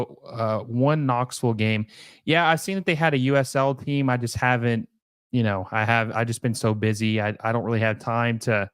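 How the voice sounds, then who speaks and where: A man's voice: mid-range voice, smooth, no room sound, studio quality. A young man hosting a casual live stream, talking loosely with lots of fillers and restarts as he reads and answers viewer questions. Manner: rambling, many fillers and restarts. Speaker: livestream host.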